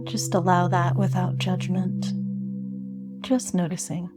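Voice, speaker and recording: Slow, low-voiced woman guiding meditation. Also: little pitch movement. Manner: gentle, unhurried instruction with long pauses. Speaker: meditation guide. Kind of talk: guided meditation. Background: meditative singing bowl and sine-tone music.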